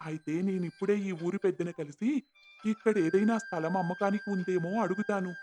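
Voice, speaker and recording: A man's voice: sits high, steady tone, moderate pace, darkish tone, lightly acted. A man voicing a humble, devout, hardworking orphan in a cartoon moral story, speaking earnestly and respectfully. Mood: quietly content. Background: background music.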